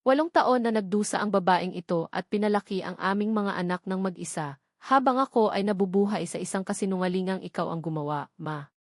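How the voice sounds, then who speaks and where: A woman's voice: medium pitch, fairly quick, dry recording, studio quality, close to an everyday voice. A woman voicing an anguished, defiant rich young man in an audio drama story. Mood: resolute, pained.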